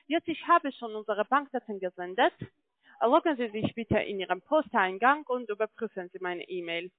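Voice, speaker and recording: A woman's voice: medium pitch, animated, normal speed, no room sound. Foreign-accented woman on a tinny phone line. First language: not German. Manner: hesitant, often checking with "ja?". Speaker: call-centre sales agent. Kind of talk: telephone sales pitch. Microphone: telephone.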